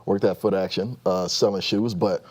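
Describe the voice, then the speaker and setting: A man in his thirties from Nigeria, a guest telling his story in a casual interview, quick and full of restarts. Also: low-pitched. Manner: fast-talking, animated storyteller with frequent restarts and laughs.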